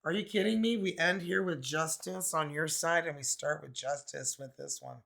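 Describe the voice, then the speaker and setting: A hoarse man from Canada with a raspy voice, chatting casually through a tarot card reading with many fillers. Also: fairly high pitch, steady tone, normal speed, sharp tone. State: hoarse, recovering from illness. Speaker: tarot reader.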